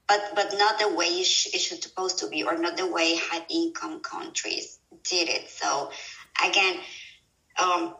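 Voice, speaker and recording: Lady, gravelly and sharp, keeps restarting, far off mic in an echoey room. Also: fairly low pitch, fairly even intonation. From Mexico. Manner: halting, restarts and repeats words often. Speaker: guest, mental health professional. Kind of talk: conversational interview answers.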